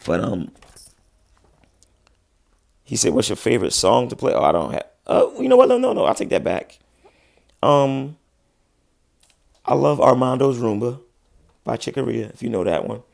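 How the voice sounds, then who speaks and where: Laid-back man with a gravelly voice. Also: medium pitch, sing-song, moderate pace, pauses often, a little mellow, dry recording, clean studio sound. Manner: laid-back slangy talk, frequent restarts. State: losing his voice. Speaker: livestream host, musician. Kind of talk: casual livestream chat answering viewers.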